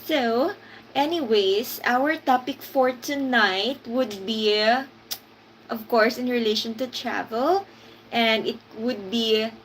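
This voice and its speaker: Woman stream host, higher register, slow easy delivery, greets commenters by name. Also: slight background noise, low-quality recording. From Philippines. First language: Hiligaynon. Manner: unhurried, reads out viewer comments and greets them. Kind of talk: casual live stream chat.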